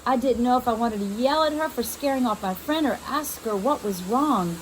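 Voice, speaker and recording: A woman's voice: a little high, moderate pace, poor audio. Woman reading a novel aloud, faint noise. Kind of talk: expressive read-aloud of a children's first-person novel.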